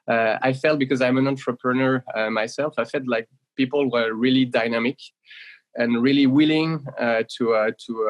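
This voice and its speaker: A man's voice: medium pitch, rough recording. A man in his thirties, a company founder, explaining his business in an interview with frequent fillers and repeats. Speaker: startup founder and guest.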